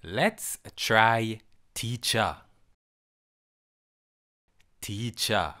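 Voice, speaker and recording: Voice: medium pitch, extremely slow, sharp tone. A presenter teaching sign words to children in simple, short instructional phrases. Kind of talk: sign language lesson narration for children.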